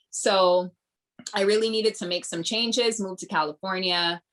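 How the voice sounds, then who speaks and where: Podcast guest: low-pitched woman with a Barbadian accent, earnest and reflective. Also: a bit bright. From Grenada. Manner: flowing, earnest, reflective with many ums. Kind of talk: casual interview talk.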